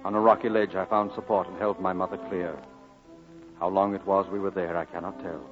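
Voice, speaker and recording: Actor as a low-voiced, solemn reminiscing narrator. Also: male voice, level tone, a little fast, natural voice. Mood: solemn, reminiscent. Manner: solemn, lyrical. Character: grown man solemnly recalling his boyhood. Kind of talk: scripted narration in 1940s radio drama. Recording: dull old radio broadcast, clear music behind.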